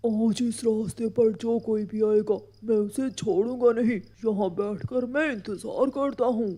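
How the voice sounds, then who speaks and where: A woman voicing a menacing, dangerous male owl in an animated children's story. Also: fairly high pitch, measured pace, a little hiss or hum, lightly acted.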